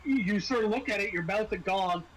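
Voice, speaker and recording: A man running a tabletop horror game as game master, improvising scene descriptions with halting, dramatic pauses. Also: sits high, heavy background noise.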